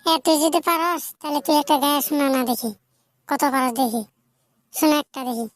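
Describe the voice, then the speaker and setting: Woman playing a high-pitched cheeky cartoon schoolboy. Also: fairly quick, natural voice. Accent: eastern Bengali. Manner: pleading, argumentative, cheeky. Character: cheeky, whining schoolboy forever making excuses. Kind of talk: comic cartoon dialogue.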